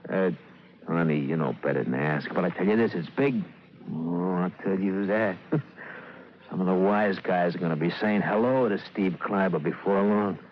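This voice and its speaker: Actor playing an eager, low-voiced small-time crook. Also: male voice, normal speed, a little hiss or hum, close to an everyday voice. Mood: boastful, elated. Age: middle-aged. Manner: hesitant, stammering. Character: eager, insecure middle-aged small-time gangster lackey desperate for approval. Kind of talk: 1950s radio drama acting. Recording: dull old radio recording with music.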